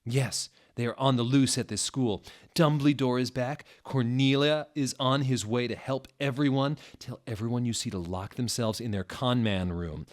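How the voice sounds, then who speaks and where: Guy doing a fretful protective witch lady, earnest, voice lifted up high. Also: steady tone, normal speed, hardly any pauses, natural voice. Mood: urgent, worried.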